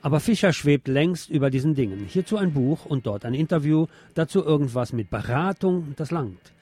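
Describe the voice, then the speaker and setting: A man's voice: fairly high pitch, animated, normal speed, mellow. A man hosting a radio programme, reading news and press commentary aloud in a wry, sardonic manner.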